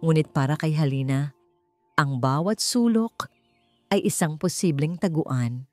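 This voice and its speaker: A woman's voice: low voice, measured pace, mellow, no echo. A woman reading a scripted, dramatic story as its narrator. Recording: music bed under the voice. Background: background music.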